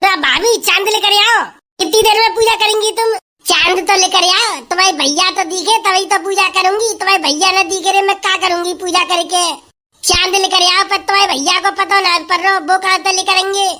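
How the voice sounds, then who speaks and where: A woman's voice: very high voice, fairly even intonation, normal speed, sharp tone. A woman voicing a hungry, fed-up village wife who grumbles and scolds in a comic sketch. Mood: complaining. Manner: complaining, scolding, rustic chatter.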